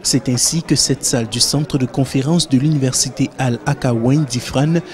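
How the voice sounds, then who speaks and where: A man reading formal, scripted documentary narration in an even, measured way. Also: medium pitch.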